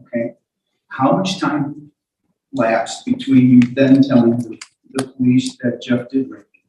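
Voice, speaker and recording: Male courtroom questioner, halting and repetitive delivery, mellow tone, picked up from afar in a reverberant room. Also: mid-range voice, fairly even intonation, slight background noise. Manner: halting, stammering, repeats words. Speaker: questioner cross-examining a witness in court. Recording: very echoey room, distant mic.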